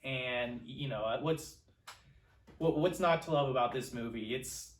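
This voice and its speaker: Male, 20s, mid-pitched. Enthusiastic, earnest film podcast co-host, conversational, heard from a little distance in an echoey room. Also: a little slow. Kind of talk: casual film discussion. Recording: roomy echo, distant from microphone.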